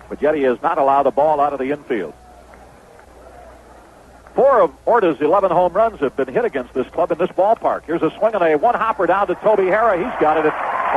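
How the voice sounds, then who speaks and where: Male radio baseball play-by-play announcer, slightly high pitch, thin vintage AM sound. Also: narrow, tinny audio. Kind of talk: radio sports commentary. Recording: old AM radio broadcast, thin, noisy.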